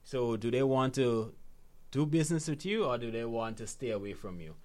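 A man's voice: medium pitch, lively intonation, moderate pace, a little mellow. Emphatic Guyanese man coaching conversationally. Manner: emphatic, repeats key phrases, checks in with "right?". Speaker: financial literacy coach, interview guest. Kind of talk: conversational motivational explaining.

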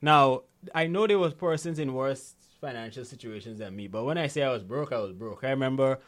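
A man's voice: medium pitch, brisk. A Guyanese man speaking as an interview guest and coach, explaining in a conversational, motivational way and repeating key phrases for emphasis.

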